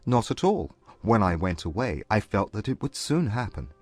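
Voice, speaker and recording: A man playing a proud but humbled gentleman suitor, earnest and formal, in a dramatized classic romance audiobook. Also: lowish voice, very animated, breathy edge, no room sound, soft background music. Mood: candid confession. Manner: formal, measured period English.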